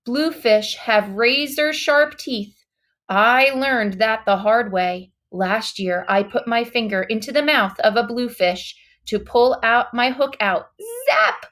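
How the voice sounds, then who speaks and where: A woman with a high voice reads a children's storybook aloud. She takes her time, stretching important words for effect. Her delivery is dramatic and expressive, with emphatic exclamations. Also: slow. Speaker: storybook reader.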